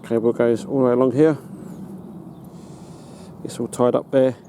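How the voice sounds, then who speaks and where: A British man giving a casual hands-on demonstration as a hobbyist, in a halting, matter-of-fact way. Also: mid-range voice, little pitch movement, warm tone. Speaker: hobbyist presenter. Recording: noticeable background noise.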